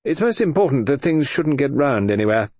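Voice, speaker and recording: A man's voice: sing-song, fairly quick, darkish tone, no echo. A man doing a flustered, stammering official. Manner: hesitant, stammering, higher-pitched. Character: fussy, flustered middle-aged male official. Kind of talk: audiobook character voice.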